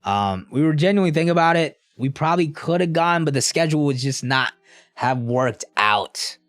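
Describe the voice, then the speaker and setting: A man's voice: a little high, animated, normal speed, fairly bright tone, dry recording, clean studio sound. A young man casually chatting with a live stream audience in a laid-back, slangy, rambling way. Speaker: streamer answering live chat.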